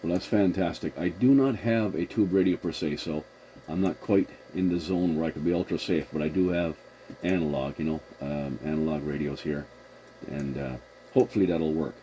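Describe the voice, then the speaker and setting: A man's voice: very deep voice, steady tone, normal speed, dark tone. A man chatting casually as a CB radio operator, agreeable and a little hesitant, with a noisy recording. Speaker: CB radio operator hosting the contact.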